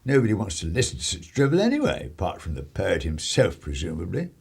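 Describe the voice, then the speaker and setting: A man's voice: low voice, huge pitch swings, quick pace, creaky, a little mellow, slightly rough, heavily acted. A man playing a pompous, scornful Conservative army captain from 1930s England in a radio play, with an English accent. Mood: scornful, blustering. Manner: pompous, blustering.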